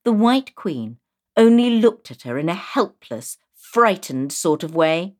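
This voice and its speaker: A woman giving an expressive scripted reading of a children's storybook. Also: fairly low pitch, measured pace.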